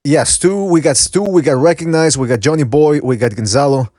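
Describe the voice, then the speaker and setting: A man's voice: on the high side, a little fast, a little mellow, dry recording, clean studio sound. A man hosting a casual call-in sports podcast over an online voice call. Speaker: podcast host.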